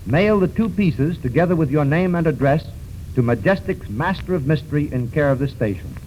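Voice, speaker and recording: A man announcing formally for a 1930s radio serial and reading its sponsor's pitch in a declamatory style. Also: expressive, fairly quick, a little mellow. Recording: muffled, faintly noisy 1930s radio recording.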